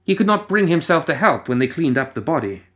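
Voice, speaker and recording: A man reading a story as a dramatic narrator, musing theatrically with deliberate pauses and rhetorical asides. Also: on the high side, very animated, a bit bright. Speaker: audio fiction reader.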